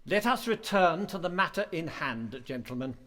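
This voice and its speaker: An actor playing a stern, measured college master in a radio play, speaking formally and with authority. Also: male voice, fairly high pitch, expressive, fairly bright tone. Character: stern, measured male college master presiding over a hearing. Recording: echoey hall with long reverb.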